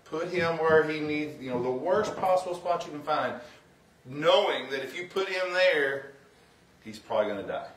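A middle-aged man giving an informal, somewhat halting sermon as a guest preacher, mixing plain talk with scripture reading. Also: on the high side, lively intonation, a bit bright, a little hiss or hum. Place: church sanctuary, indoors. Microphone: far camera. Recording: roomy, distant from the microphone.

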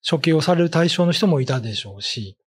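Animated, husky middle-aged man explaining folklore. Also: on the high side, fast. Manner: conversational, explaining with everyday examples. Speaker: ghost story writer and commentator. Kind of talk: casual explanatory talk on folklore. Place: indoors, seated at a desk. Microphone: desk microphone with pop filter.

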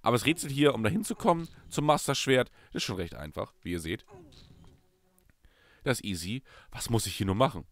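A young man hosts a let's play in casual, chatty commentary. His voice is still hoarse from a recent cold. His pitch is lively and swings widely, from sudden loud outbursts down to quiet mumbling. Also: a little fast. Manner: animated, swings from loud bursts to low mutters.

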